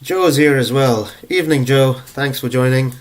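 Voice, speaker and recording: A young man with a stuffed-up cold voice hosts a live prize draw stream. He chats loosely to the viewers, filling gaps with ums and uhs, at a mid pitch for a man. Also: normal speed. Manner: chatty, relaxed, lots of ums and uhs. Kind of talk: casual live-stream chat.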